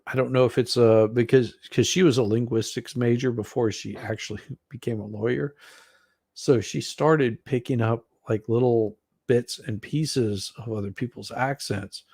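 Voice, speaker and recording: A man with a gentle Southern American accent, chatting casually on a stream, animated and quick to laugh, often restarting his sentences. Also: mid-range voice, breathy edge, no room sound, studio quality. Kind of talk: casual chat.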